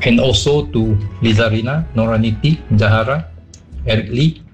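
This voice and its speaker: A man reads out his voice note at a mid pitch. His delivery is steady and measured, like someone working through a list. Faint music sits behind him. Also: rough recording. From Sarawak, Malaysia. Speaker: listener sending a voice note.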